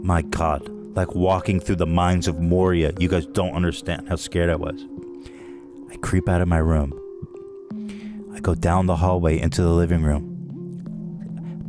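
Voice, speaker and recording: A man hosting a podcast, telling a comic story in a dramatic way, dropping now and then into a hushed whisper. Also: deep voice. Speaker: podcast host.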